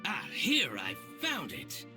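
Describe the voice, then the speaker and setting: An actor playing an excitable, scheming game shopkeeper who is bitter about his rival and swings theatrically between fawning and indignant. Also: on the high side, takes it slowly, full character voice. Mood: gloating, eager. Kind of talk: video game voice acting. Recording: music under the voice.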